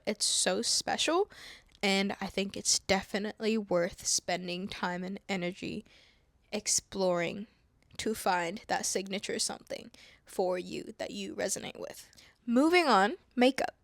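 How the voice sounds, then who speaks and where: A young woman from Indonesia chatting calmly to camera, soft-spoken with whispery asides and self-mocking laughs. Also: mid-range voice, a little slow, no echo, clean studio sound. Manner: playful, laughing at herself. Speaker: vlogger. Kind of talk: calm casual chat to camera. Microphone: wireless clip-on mic.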